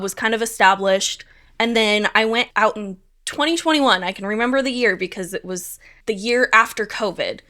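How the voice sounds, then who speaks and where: A chatty young woman talks through an interview in an easygoing, upbeat way, her words full of casual fillers. Her voice is bright and forward, and her pitch sits in the middle. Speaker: stage manager.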